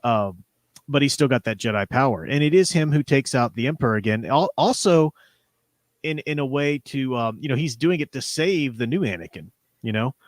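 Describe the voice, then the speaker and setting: A man's voice: medium pitch, expressive, normal speed, no room sound. Man chatting casually on a livestream, dry sound. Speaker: livestream host. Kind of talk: casual livestream discussion of comics.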